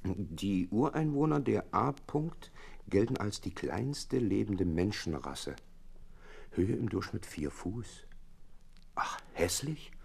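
Earnest detective's sidekick in a radio play, low husky voice, gets exasperated easily. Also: male voice, lively intonation, slow pace, a little mellow, exaggerated voice. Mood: intrigued. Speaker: narrator and character. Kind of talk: German audio drama acting.

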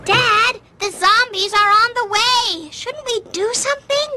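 A woman's voice: extremely high pitch, unhurried, sharp tone, slight background noise, full character voice. A woman voicing an earnest, anxious little girl in a cartoon video game, with a plaintive manner. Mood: worried.

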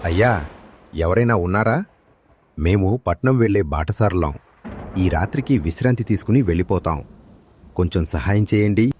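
A man acting a deep-voiced humble village husband. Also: expressive, normal speed, pauses often, darkish tone, a little hiss or hum. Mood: calling out politely. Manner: polite, earnest, pleading. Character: humble, sorrowful village husband and father, polite traveller. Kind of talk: animated moral story voice acting. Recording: music behind the voice.

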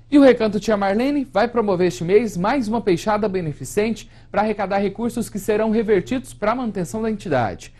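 A young man anchoring a regional evening newscast from a studio, reading the news in a steady, formal way. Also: high-pitched. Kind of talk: scripted news presenting.

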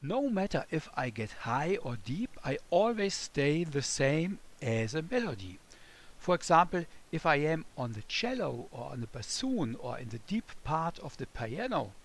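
A man with a German accent teaches music to children at a slow, deliberate pace. His voice sits fairly high and swings widely in pitch. He speaks in simple, playful phrases straight to the listener. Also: very animated. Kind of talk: scripted children's music lesson, speaking as a melody.

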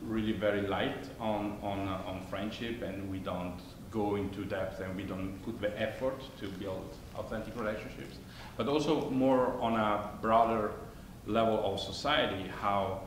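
A man's voice: fairly low pitch, fairly even intonation. Italian-accented man on an echoey stage. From Italy. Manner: thoughtful, halting, many restarts, gesturing. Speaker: panelist, conflict negotiator. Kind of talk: onstage discussion. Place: theater stage, seated. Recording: echoey stage with faint noise.

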